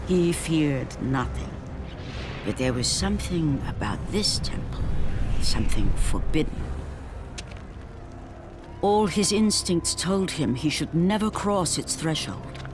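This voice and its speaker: A male actor delivering grave, dramatic narration of an ancient Greek war legend in a deliberate, solemn style. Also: fairly high pitch, lively intonation, noisy background. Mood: grave, ominous. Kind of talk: solemn epic scripted narration. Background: dramatic music.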